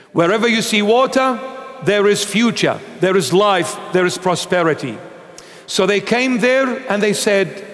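A middle-aged man from Iraq preaches from a church altar in a high, emphatic voice. He reads scripture and explains it with animated force. The sound hangs in the long reverb of a large sanctuary. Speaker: bishop preacher. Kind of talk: sermon with Bible reading.

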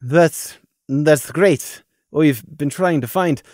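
A man's voice: a little high, takes it slowly, a little mellow, no room sound, studio quality. A man voicing a young stallion, an anxious brother who stammers and pleads for forgiveness. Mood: pleading.

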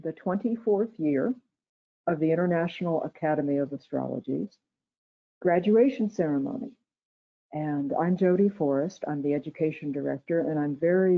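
A woman serving as education director, giving a prepared, soft-spoken welcome speech for a graduation ceremony on a video call. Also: deep voice. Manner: soft-spoken, measured, read-aloud delivery. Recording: tinny phone-like video call audio.

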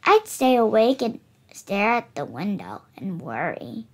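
A woman's voice: mid-range voice, sing-song, unhurried, a little mellow. A girl of about eight reading a picture book aloud, carefully and expressively, with small stumbles. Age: child, about 8. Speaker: child reader of picture books. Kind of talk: storybook read-aloud.

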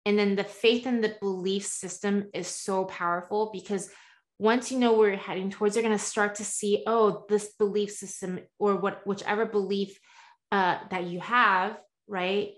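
A woman's voice: mid-range voice, moderate pace. A woman in her forties, born in the US and partly raised in Taiwan, telling her story as a guest coach over a video call from a room at home, earnest and with many asides. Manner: earnest, animated hand gestures, frequent "right?" asides. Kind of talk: candid interview storytelling.

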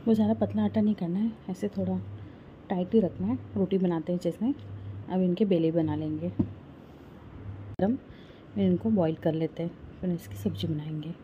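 A woman's voice: on the low side, fairly even intonation, normal speed, pauses often, phone-quality sound, slight background noise. A woman from Rajasthan, India, talking a viewer through a home recipe step by step in her kitchen, recorded on a phone held close. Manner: homely, explains step by step. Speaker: home cooking vlogger. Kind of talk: casual cooking walkthrough.

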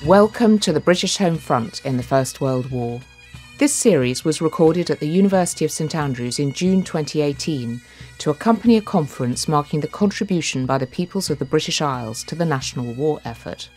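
A narrator reads a scripted series introduction in a formal, announcing manner. Faint bagpipe music plays softly underneath the voice. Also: medium pitch, expressive, brisk. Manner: formal, read-aloud announcing.

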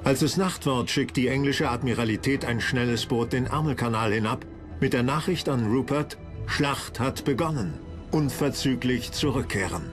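A man's voice: mid-range voice, normal speed. A man narrating a historical documentary from a script in a dramatic storytelling style. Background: music.

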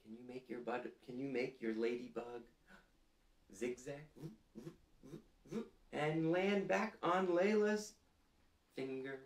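A man in his thirties reading a picture book aloud to young children in a gentle, deliberate way, putting simple questions to them. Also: mid-range voice. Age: 30s. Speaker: storytime reader for children. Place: small indoor living room, seated. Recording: roomy echo.

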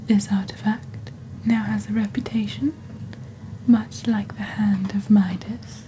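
A young woman speaking softly and breathily in a scripted ASMR roleplay, slow, deliberate and eerily formal, as the host of a shopping channel. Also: mid-range voice, fairly even intonation, a little hiss or hum, rough recording. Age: young adult. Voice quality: soft, breathy, whispery. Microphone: close studio microphone on desk.

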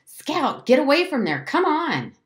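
A woman's voice: lowish voice, very animated, moderate pace, reverberant. A woman voicing a bossy, boastful young boy, an older brother, scornful and commanding in an audiobook story. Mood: urgent, yelling.

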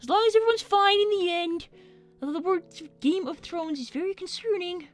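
A woman's voice: very high voice, expressive, fairly quick, soft background music. A woman voicing an earnest, chatty young boy cartoon fox who hosts a game livestream in character. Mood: insistent. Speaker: livestream host playing a game. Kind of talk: in-character casual game commentary.

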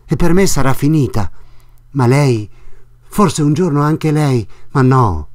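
A man's voice: mid-range voice, expressive, slow, a little mellow. A man voicing a frightened young woman held captive, begging desperately with a trembling voice. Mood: pleading. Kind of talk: audiobook reading of a classic novel.

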